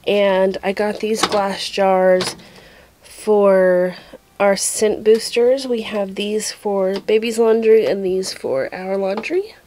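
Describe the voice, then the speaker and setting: A woman's voice: medium pitch, takes it slowly, darkish tone, slight background noise. A young woman narrating a casual home vlog, chatting as she shows what she is working on around the house. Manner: casual, chatty, often drops to a late-night whisper. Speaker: home vlogger. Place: home, indoors, at night. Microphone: handheld phone camera.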